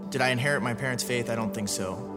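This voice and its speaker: A man's voice: mid-range voice, fast talker. Young man, reflective, over music. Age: 20s. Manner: thoughtful, questioning. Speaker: firefighter. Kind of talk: testimony interview. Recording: loud music underneath.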